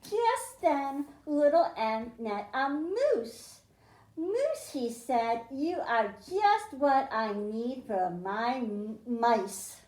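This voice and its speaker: A woman's voice: high-pitched, expressive, extremely slow, darkish tone, roomy sound. A woman librarian reading a picture book aloud to children, stressing the m sounds in a sing-song storytime way, then explaining a science activity. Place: library room.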